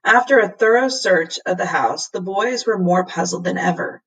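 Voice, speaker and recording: A woman reading a children's storybook aloud as a teacher would to a class, in expressive narration. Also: on the low side, normal speed, gravelly, husky, far from the mic, low-quality recording.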